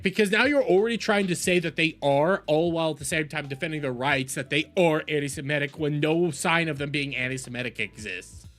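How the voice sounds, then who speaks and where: A man's voice: on the high side, fairly bright tone. A man hosting a reaction video, giving heated, casual opinion commentary in an argumentative, exasperated and mocking way, repeating phrases for emphasis.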